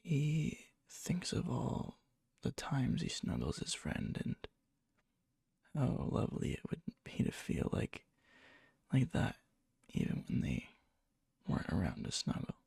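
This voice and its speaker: Man playing a breathy, gravelly needy lovesick friend. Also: medium pitch, wildly swooping pitch, slow, pauses often, no room sound, clean studio sound, exaggerated voice. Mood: yearning, secretive. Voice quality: breathy, soft, fry-edged. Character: needy, lovesick, obsessive young male friend confessing indirectly. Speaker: ASMR roleplay performer. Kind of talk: whispery ASMR roleplay, intimate storytelling.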